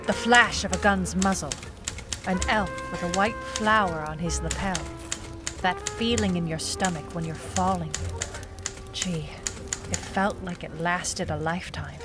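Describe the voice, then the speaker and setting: A woman's voice: fairly low pitch, unhurried, natural voice. A woman playing a wry, defiant noir private eye in an audio drama, in narration and dialogue. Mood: haunted recall. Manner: hardboiled, wry. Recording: music behind the voice.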